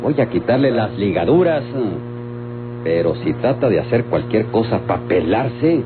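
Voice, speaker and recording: An actor playing a stubborn, mocking country guard in an old radio drama, with a folksy rural Mexican accent. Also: male voice, fairly low pitch, very animated, a little slow. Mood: threatening. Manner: folksy, repeating commands, scoffing. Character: stubborn rustic male guard, gruff and mocking. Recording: muffled old radio recording over music.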